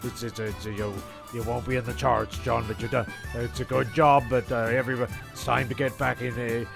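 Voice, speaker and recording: Male narrator, creaky, rambling, sardonic, halting, comic, profane, loud music bed. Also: medium pitch, flat delivery.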